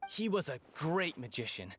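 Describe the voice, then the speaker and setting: A man's voice: on the high side, very animated, moderate pace, fairly bright tone, slight background noise. An actor playing a chatty, opinionated townsman in a video game, emphatic and colloquial. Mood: enthusiastic. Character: chatty, opinionated townsman, animated and self-assured.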